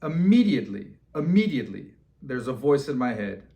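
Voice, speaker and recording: Man, medium pitch, casual storyteller, slangy delivery, animated dialogue. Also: moderate pace. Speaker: storyteller and host.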